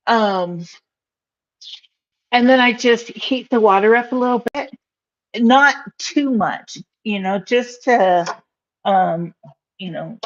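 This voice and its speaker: Slow, giggly woman in a home kitchen. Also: mid-range voice. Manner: rambling, giggly, lots of fillers. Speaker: livestream cooking host. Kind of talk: casual live cooking stream. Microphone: phone camera on counter. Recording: dull lo-fi phone audio.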